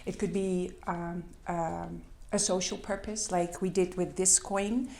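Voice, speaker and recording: A woman's voice: fairly low pitch, fairly even intonation, a little slow, gravelly, a little mellow. A middle-aged woman with a Dutch accent, not speaking her first language, giving a conference talk with slides in a hesitant way, with many fillers. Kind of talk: presentation with slides. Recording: echoey hall.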